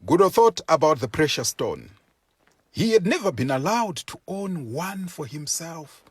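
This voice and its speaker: A man reading an animal folk tale as scripted narration, in a measured, deliberate and expressive storytelling manner. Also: fairly high pitch, husky, no echo.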